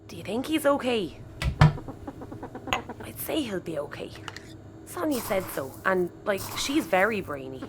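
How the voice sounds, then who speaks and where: A woman's voice: medium pitch, big pitch swings, measured pace, long pauses, heavy background noise. A woman with an Irish accent playing a quirky, childish, defiant young crew member in an audio sitcom, petulant and rambling. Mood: fretful.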